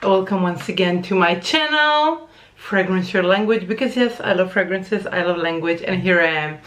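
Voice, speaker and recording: A woman's voice: on the low side, normal speed, a bit bright, echoey room. A woman in her thirties with a Croatian accent, a language teacher chatting casually to camera and searching for words now and then. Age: 30s.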